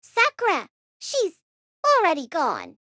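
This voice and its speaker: A man's voice: very high voice, huge pitch swings, slow pace, sharp tone, dry recording, clean studio sound, pitched above the performer's own voice, heavily acted. A man voicing a cute, polite young girl in an anime-like audiobook style. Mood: subdued.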